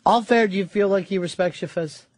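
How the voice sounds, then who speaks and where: A man's voice: high-pitched, fairly quick, no echo. A man hosting live talk radio from a studio, blunt and profane as he needles his co-host.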